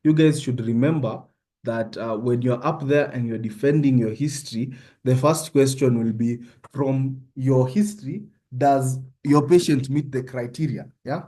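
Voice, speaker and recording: Young Kenyan male tutor, Kenyan accent, mellow voice, casual teaching that keeps checking in with listeners. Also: mid-range voice, unhurried. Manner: conversational, often checks in with "yeah?". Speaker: medical student tutor. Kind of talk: informal online tutorial.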